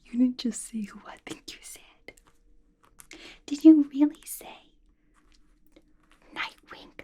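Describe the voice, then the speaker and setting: A woman voicing a scatterbrained, gossipy, clingy young female villain in a breathy, intimate ASMR whisper, chatty and playful with slangy grammar. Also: high voice, slow, warm tone. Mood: gossipy, teasing. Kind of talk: ASMR roleplay, whispered to the listener.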